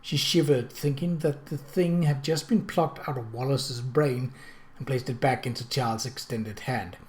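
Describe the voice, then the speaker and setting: A man reading a period mystery novel aloud as audiobook narrator, with a measured storytelling delivery. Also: medium pitch.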